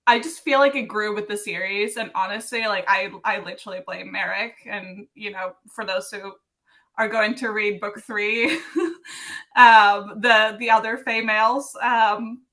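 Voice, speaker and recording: A woman's voice: medium pitch, steady tone, unhurried, sharp tone. A young adult woman from Sweden, an author chatting casually in a podcast interview, giggling often and using many fillers. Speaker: author guest.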